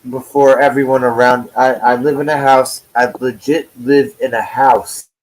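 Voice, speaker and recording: A man's voice: medium pitch, flat delivery, normal speed, a little hiss or hum, low-quality recording. A man in his forties hosting a livestream from home. He chats loosely, swears freely and greets his viewers by name. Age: 40s. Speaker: livestream host. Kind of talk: casual chat.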